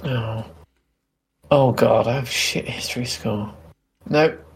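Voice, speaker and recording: A man's voice: mid-range voice, slow pace, breathy edge. Casual man playing a tabletop game.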